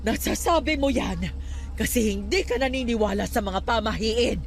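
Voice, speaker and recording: High, fretful superstitious aunt. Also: female voice, moderate pace, gravelly, faint music behind. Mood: scolding. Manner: fretful, chiding. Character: superstitious devout aunt, anxious and scolding. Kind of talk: Filipino radio drama acting.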